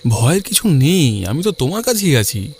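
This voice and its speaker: A man's voice: on the high side, sing-song, normal speed, a little mellow, breathy edge, exaggerated voice. A man playing a young husband in an audio story, by turns soothing and dismissive. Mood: reassuring. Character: reassuring, slightly impatient young husband. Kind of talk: audio story dramatic acting.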